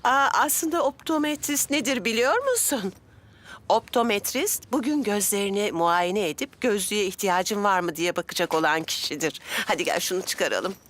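A woman's voice: mid-range voice, animated, moderate pace, fairly bright tone, slight background noise, lightly acted. A woman voicing an affectionate, exclamatory grandmother full of little ohs in a children's cartoon dub. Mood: chatty, encouraging. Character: affectionate, chuckling grandmother of a young boy.

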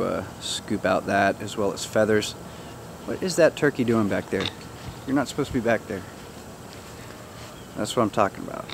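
A man casually showing viewers around his backyard garden outdoors, chatty and relaxed, joking with his animals. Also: fairly low pitch, gravelly, background noise. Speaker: gardener giving a backyard tour. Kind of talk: casual walk-through narration.

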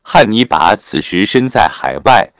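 A man's voice: fairly low pitch, sing-song, unhurried, clean tone, no echo, studio quality. A man reading a scripted film recap as narrator, in a formal storytelling way. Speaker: narrator of film plot summaries.

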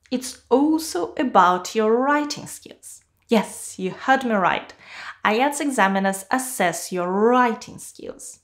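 Upbeat female exam-prep teacher. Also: on the high side. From Kazakhstan. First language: not English. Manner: clear, upbeat, explanatory. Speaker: IELTS teacher. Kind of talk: scripted video lesson. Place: small home studio with shelves and flowers.

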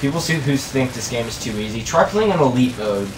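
A man's voice: medium pitch, lively intonation, fairly quick. A man chatting casually while playing a co-op video game, rambling and laid-back with lots of filler words. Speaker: co-op game commentator. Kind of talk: casual gaming chat. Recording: echoey room, distant mic, game noise. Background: video game sounds.

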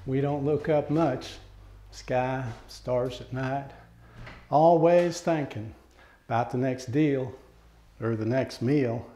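A man's voice: medium pitch, takes it slowly, warm tone, lightly acted. A man reciting a narrative poem as a plainspoken, reflective country man with an American country accent. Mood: musing. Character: plainspoken, wondering country man musing on life. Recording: echoey room with faint background noise.

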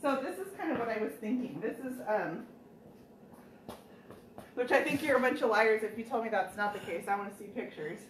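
A woman's voice: on the high side, animated, a little fast, pauses often, a little hiss or hum. Chatty woman in an echoey room. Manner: chatty, laughs often, jokes with viewers. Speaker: furniture painting host. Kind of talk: casual live demo chat. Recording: echoey room, mic at a distance.

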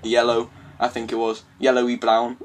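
A young adult British man making a casual prank call in a halting, hesitant manner. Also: mid-range voice, monotone, a little hiss or hum. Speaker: prank caller.

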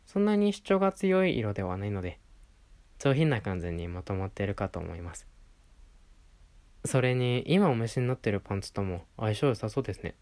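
A man playing a polite, lively-pitched male shop assistant. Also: lightly acted. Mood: friendly, attentive. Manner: polite customer-service speech, attentive and courteous. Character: polite, attentive male clothing shop assistant serving a regular. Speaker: voice performer in a situation voice audio. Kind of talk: Japanese situation-voice roleplay addressed to the listener.

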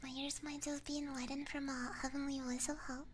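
A woman livestream host chatting in a soft, breathy ASMR whisper, gentle and playful, close to a binaural mic. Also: high voice, flat delivery, a little fast, slight background noise. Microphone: close binaural ASMR microphone.

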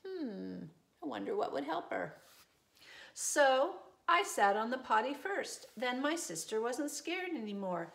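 Female, middle-aged. Preschool read-aloud, high voice, very lively intonation, gentle and reassuring. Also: a little slow, vocal fry. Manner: gentle, reassuring, simple phrases for young children. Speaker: preschool teacher reading a picture book aloud. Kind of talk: children's storybook read-aloud with simple asides. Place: small indoor room with desk and shelves.

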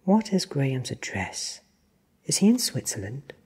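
A performer voicing an ancient, powerful vampire who speaks with cold, deliberate menace. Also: medium pitch, very animated, darkish tone, slightly rough, voice pushed up, heavily acted. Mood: cold interrogation.

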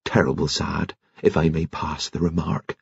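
A man's voice: mid-range voice, very animated, moderate pace, breathy edge, pitched above the performer's own voice. A man doing a humble village postman, muffled. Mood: solemn. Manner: folksy, respectful, clipped dialect phrasing. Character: humble rural English village postman, deferential and solemn. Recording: muffled, echoey room.

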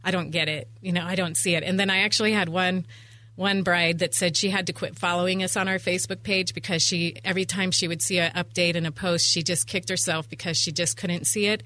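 A woman's voice: on the low side, little pitch movement, a little fast, sharp tone. A middle-aged woman chatting at length as a guest in a radio interview, talkative and full of anecdotes, on a studio microphone. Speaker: interview guest, event venue owner. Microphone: studio microphone on boom arm.

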